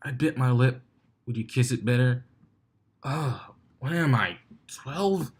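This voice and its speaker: An awkward young cartoon stallion, read by a man, full of self-doubt. He mutters haltingly, as if rehearsing to himself. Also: mid-range voice, husky.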